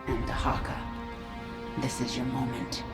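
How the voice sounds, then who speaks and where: A woman's voice: rumbling low, completely flat delivery, unhurried. A woman playing a ruthless, vengeful queen of an alien swarm in a video game, giving imperious, clipped orders. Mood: commanding. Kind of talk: video game cinematic acting. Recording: echoing, loud music underneath.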